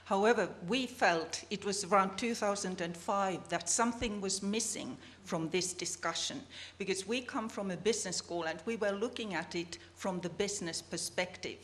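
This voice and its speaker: A woman's voice: mid-range voice, moderate pace, few pauses, a bit bright. Finnish woman co-presenting at a conference. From Finland. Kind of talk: academic presentation. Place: conference hall.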